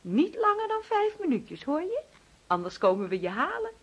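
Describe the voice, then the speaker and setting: An actress in a radio drama playing a doting, controlling mother who fusses and coaxes with sweet insistence in a husky voice. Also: very high-pitched, wildly swooping pitch, normal speed, heavily acted. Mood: sweetly insistent. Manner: coaxing, fussing, overbearing.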